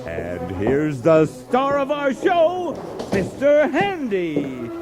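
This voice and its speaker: A wildly sing-song, eager show announcer. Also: male voice, sits high, huge pitch swings, drawn-out words, over music, full character voice. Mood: eager, grandiose. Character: gushing, eager announcer-sidekick of DIY show.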